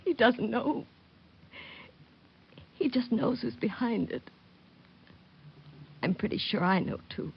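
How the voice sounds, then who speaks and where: A woman's voice: on the high side, lively intonation, takes it slowly, pauses often, slightly rough, full character voice. A woman playing a shaken, drowsy, sorrowful young widow in an old-time radio drama. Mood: earnest, troubled. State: drowsy, upset. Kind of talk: 1940s radio drama acting. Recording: muffled old radio recording.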